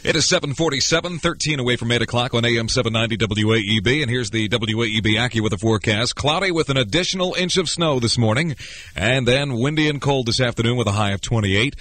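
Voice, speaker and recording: A man's voice: medium pitch, quick pace, a bit bright, slight background noise. 1980s AM radio morning man. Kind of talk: 1980s local AM radio announcing. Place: radio studio. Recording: radio aircheck with music behind.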